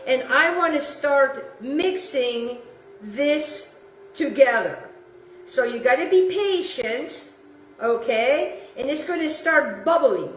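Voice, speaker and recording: Chatty high-voiced woman cooking in an echoey kitchen. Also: lively intonation, takes it slowly, recorded from a distance, a little hiss or hum. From Italy. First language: Italian. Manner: chatty, repeats herself, talks to the viewer and her dog. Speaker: home cooking host. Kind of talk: casual cooking demonstration. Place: home kitchen.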